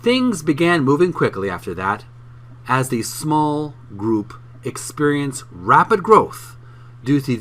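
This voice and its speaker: A man reading a book aloud for his video channel in an emphatic, deliberate way, occasionally stumbling over words. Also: fairly high pitch, a bit bright.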